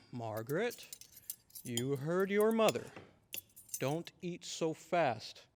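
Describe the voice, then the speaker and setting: A stern yet affectionate father, acted by a man, speaking in a measured, slightly pompous way. The voice sits a little high and rings in a reverberant hall, in old-time radio drama style. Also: sing-song, background noise, full character voice. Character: stern but affectionate small-town father.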